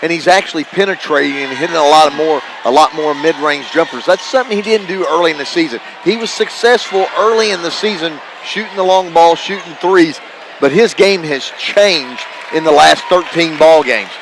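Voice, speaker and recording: Man, slightly high voice, conversational sports analyst, emphatic delivery, noisy gymnasium background. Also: normal speed, crowd noise. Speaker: radio basketball color commentator. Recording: noisy live gym broadcast. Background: gymnasium ambience, bouncing basketballs.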